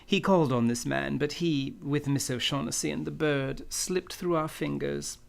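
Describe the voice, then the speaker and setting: An actor playing a courteous, cunning older criminal mastermind in an old-time radio drama, with florid, formal speech. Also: male voice, fairly high pitch, steady tone, normal speed, creaky. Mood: matter-of-fact. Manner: florid, formal, elaborately polite.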